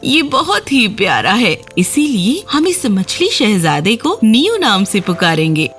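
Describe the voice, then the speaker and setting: A woman storyteller acts out a kindly queen from a children's fairy tale cartoon. She speaks in a doting way with lively pitch, over light music. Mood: fond.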